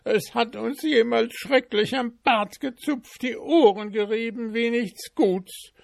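A man's voice: very high-pitched, slow pace, vocal fry, no echo, clean studio sound, pitched above the performer's own voice, full character voice. Man doing squealing frightened servants. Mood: wailing. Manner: wailing, complaining. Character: wailing frightened male servants.

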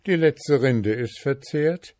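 A man's voice: medium pitch, slow, a little mellow, no room sound, clean studio sound, voice pushed up, natural voice. A man voicing a clever, polite young goldsmith journeyman in a storybook reading, coaxing and bold. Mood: pleading. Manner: pleading, then demanding.

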